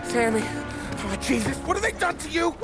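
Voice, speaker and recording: Brash American mobster, crude, hot-tempered, slangy, loyal to his crew; game cutscene with loud music behind. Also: very high voice, sing-song, fairly quick, full character voice. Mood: alarmed. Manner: slangy, profane tough-guy talk. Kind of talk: video game cutscene acting. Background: music, vehicle sounds.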